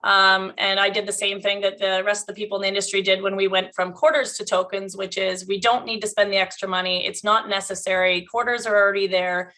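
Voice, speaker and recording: Bright-voiced woman, slightly hoarse. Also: mid-range voice, level tone, fairly quick, hardly any pauses. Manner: chatty, laughs often, restarts sentences. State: slightly losing her voice. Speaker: business owner, guest. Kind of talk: casual interview chat.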